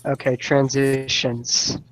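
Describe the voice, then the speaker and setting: A man's voice: medium pitch, little pitch movement, takes it slowly, poor audio. A man joining a student study group over a video call, speaking in a tentative, hesitant way. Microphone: video call headset.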